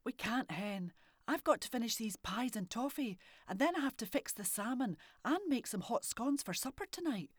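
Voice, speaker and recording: A woman's voice: on the high side, expressive, normal speed, breathy edge, no echo, clean studio sound, pitched above the performer's own voice. A woman with a Scottish accent voicing a busy mother who speaks firmly but lovingly to her daughter. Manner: firm, scolding warmth.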